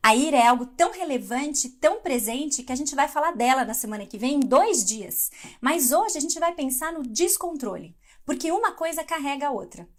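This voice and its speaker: A middle-aged woman from Brazil leads a live devotional in a chatty, conversational way, pausing to answer viewers. Her voice sits a little high for a woman. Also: lively intonation. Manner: conversational, reads live comments aloud. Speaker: devotional speaker.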